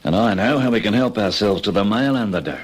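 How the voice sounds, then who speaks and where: An actor playing a cocky, impatient Australian criminal ringleader in an old radio crime drama, talking colloquially and persuasively. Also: male voice, lowish voice, expressive, fast. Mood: insistent, scheming.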